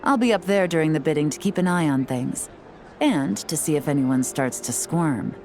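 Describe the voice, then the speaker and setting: Woman acting as shrewd female agent, low voice, calm, self-assured, poised, video game dialogue, music behind. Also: moderate pace, lightly acted. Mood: cool, calculating. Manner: calm, assured, smooth-talking. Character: cool, composed, shrewd adult female operative.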